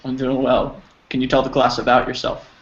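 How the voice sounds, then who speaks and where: A man teaching an English class over a video call, patient and encouraging, explaining slowly with examples. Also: mid-range voice, completely flat delivery. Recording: slightly dull audio with faint noise.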